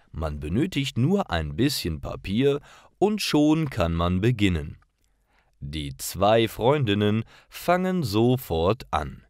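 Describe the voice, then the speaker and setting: Male narrator for learners' stories: slow, careful, animated intonation, very dry recording. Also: fairly low pitch, no room sound. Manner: careful, clearly separated sentences. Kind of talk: scripted story narration for language learners.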